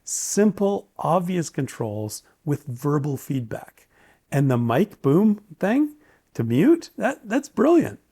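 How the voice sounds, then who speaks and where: A man's voice: fairly high pitch, very animated, slow, dark tone, slightly rough. A man giving a casual, opinionated, lightly joking product review. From Canada. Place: indoors, home study.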